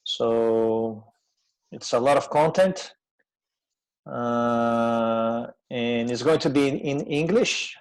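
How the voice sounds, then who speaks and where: A man's voice: medium pitch, a little mellow, clean tone, rough recording. Brazilian-accented man presenting informally. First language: Portuguese. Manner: hesitant, conversational, pausing often. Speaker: webinar presenter.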